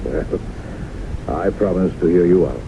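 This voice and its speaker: An actor playing a courteous, skeptical psychiatrist in a 1970s radio drama, with measured, formal questioning. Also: male voice, low-pitched. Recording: noisy, muffled 1970s radio broadcast recording.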